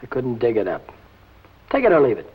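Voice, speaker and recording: An actor playing a desperate young mechanic sliding into crime in a 1950s film noir, evasive and strained. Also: male voice, medium pitch, wildly swooping pitch, a little fast, breathy edge. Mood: defiant. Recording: muffled, very noisy old film soundtrack.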